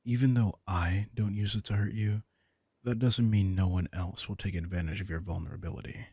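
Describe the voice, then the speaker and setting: A possessive, protective vampire king, played by a man in an intimate audio drama. His male voice is low and full of gravelly fry, recorded very dry. He talks straight to the listener, teasing and condescending, as if amused by someone naive in his care. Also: fairly even intonation, dark tone, no room sound. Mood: stern, protective. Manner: condescending, amused, slow coaxing asides. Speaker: audio roleplay performer. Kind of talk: intimate scripted roleplay addressed to the listener.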